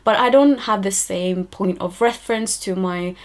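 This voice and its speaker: Calm young woman vlogging to camera. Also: medium pitch, moderate pace. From born in Rwanda, raised in Norway. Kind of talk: scripted talking-head vlog. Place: bright minimal indoor room.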